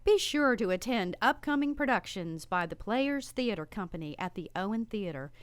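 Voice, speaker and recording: A woman's voice: mid-range voice, expressive, moderate pace, no echo. A woman announcer reading a scripted promotional piece. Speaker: announcer for a theater company.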